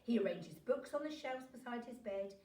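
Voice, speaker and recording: Animated woman reading a children's story, roomy echo. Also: fairly high pitch, brisk. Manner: animated, acts out dialogue. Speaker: storybook reader for a class. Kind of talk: expressive children's story narration. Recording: roomy echo, distant from microphone.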